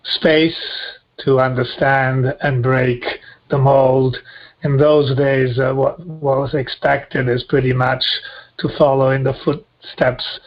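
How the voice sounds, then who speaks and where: A man in his sixties from Rome, with an Italian accent, giving reflective interview answers in halting, deliberate phrases. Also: mid-range voice, flat delivery, muffled recording. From Rome, Italy. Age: 60s. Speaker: guest.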